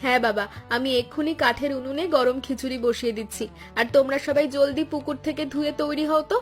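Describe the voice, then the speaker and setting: A woman voicing a caring, bustling young village wife and daughter in a cartoon, gently bossy in manner. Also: sits high, steady tone, quick pace, faint music behind, lightly acted. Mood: cheerful, bustling. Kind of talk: cartoon story dialogue.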